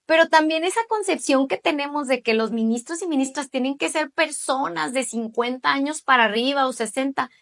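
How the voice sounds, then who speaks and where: Woman, late 30s, Mexican accent, slightly high voice, emphatic explainer in an interview. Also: fairly quick, creaky, hardly any pauses, fairly bright tone. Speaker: interviewee, judicial candidate.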